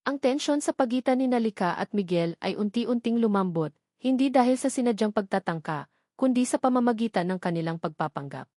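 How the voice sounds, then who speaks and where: A woman's voice: mid-range voice, darkish tone, no echo, studio quality. A woman narrating a scripted dramatic story.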